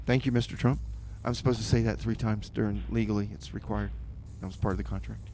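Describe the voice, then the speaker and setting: A man hosting a community radio music show with casual, rambling, tongue-in-cheek chat, often halting and repeating his words. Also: on the low side, steady tone, dark tone, slight background noise.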